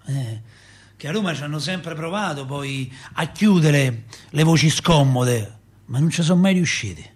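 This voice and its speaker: High, husky, chatty Roman father. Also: measured pace. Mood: earnest storytelling. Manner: animated, folksy, rambling, rhetorical. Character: chatty Roman father, gossiping and telling city tales. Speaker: actor in a radio theatre monologue. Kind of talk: colloquial dramatic storytelling.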